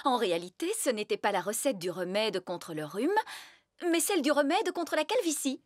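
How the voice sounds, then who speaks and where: A woman's voice: a little high, very animated, normal speed, background noise. A woman playing a bossy, theatrical fairy nanny who casts spells in a French cartoon. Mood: sheepish. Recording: music behind the voice.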